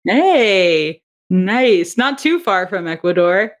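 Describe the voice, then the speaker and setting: A young adult woman speaks over a video call in a casual interview. Her voice sits at a middling pitch, and she is lively and enthusiastic. She thinks aloud, trailing off and restarting as she goes. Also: takes it slowly. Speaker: guest, audio drama writer and producer.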